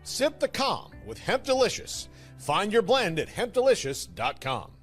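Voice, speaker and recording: A man reads a soothing promotional ad with a high, lively voice that moves around a lot. Light music sits under the read. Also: a little slow. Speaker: ad announcer. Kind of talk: scripted ad read.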